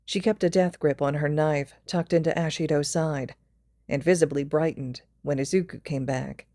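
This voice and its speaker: A woman's voice: low voice, steady tone, moderate pace, dark tone, no room sound, studio quality. A woman reading fan fiction aloud as a scripted story narration. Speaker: podfic reader.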